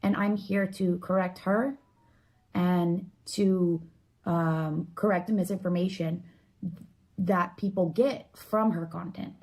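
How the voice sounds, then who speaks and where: A woman giving a casual, explanatory monologue, earnest and opinionated, often halting and leaning on filler words. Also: fairly low pitch, steady tone, a little mellow.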